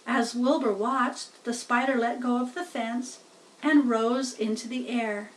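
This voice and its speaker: Female children's book narrator, slow and deliberate, higher-pitched, slightly off the mic. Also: fairly even intonation, distant mic, poor audio. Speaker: storybook reader.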